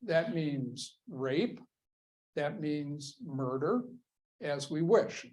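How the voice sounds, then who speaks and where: Male lecturer, slightly high voice, slow deliberate delivery, emphatic, rhetorical questions. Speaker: history lecturer. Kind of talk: classroom lecture.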